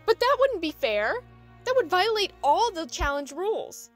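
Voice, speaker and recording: A woman's voice: very high voice, big pitch swings, moderate pace, faint music behind. A woman playing an earnest, idealistic young female dragon in an audio drama, a little hesitant in manner. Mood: indignant, earnest. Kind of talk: fan audio drama acting.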